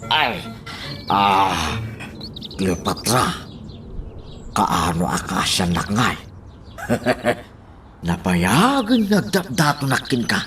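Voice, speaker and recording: A man's voice: on the low side, sing-song, extremely slow, long pauses, a bit bright, slight background noise, exaggerated voice. A man playing a goofy, lovestruck older suitor, clownish and exclamatory, in a comic Ilocano radio drama. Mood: lovestruck, clowning.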